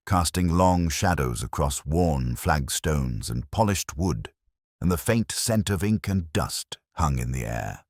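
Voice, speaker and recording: A man acting as a reflective male police detective telling his own case. The voice sits very deep and moves widely in pitch. His delivery is measured, literary and reserved, in a close, dry sound. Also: no echo, full character voice. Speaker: narrator. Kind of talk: scripted first-person detective narration.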